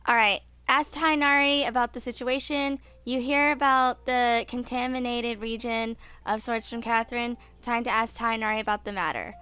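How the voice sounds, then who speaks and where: A woman's voice: fairly high pitch, steady tone, moderate pace, hardly any pauses, bright, forceful tone, clear, resonant tone. A woman in her twenties giving casual gameplay commentary and reading game text aloud. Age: 20s. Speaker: gaming video host. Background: game music.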